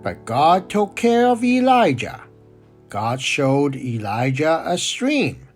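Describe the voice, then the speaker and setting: Woman voicing a timid, low-pitched storytelling monkey. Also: faint music behind, heavily acted. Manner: slow, deliberate, expressive delivery for children. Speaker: storyteller and co-host. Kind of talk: children's puppet show and storybook reading.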